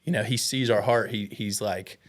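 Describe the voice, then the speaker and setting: A man's voice: fairly low pitch, steady tone, moderate pace. An American man talking as a guest in a casual, candid way, telling personal stories with earnest, self-deprecating, slangy speech. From United States.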